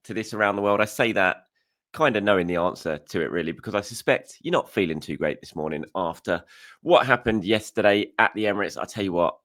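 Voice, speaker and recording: A man hosting a football reaction show in frank, chatty solo commentary, his voice croaky, often repeating words for emphasis. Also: on the low side, lively intonation, a little fast, a bit bright, dry recording, clean studio sound.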